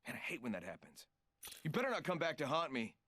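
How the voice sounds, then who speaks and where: Cocky, animated trickster fighter. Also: male voice, fairly high pitch, fast talker, heavily acted. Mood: cocky, playful. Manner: smug, jokey, rambling asides. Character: cocky joking male trickster fighter, playful show-off. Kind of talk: video game character voice lines.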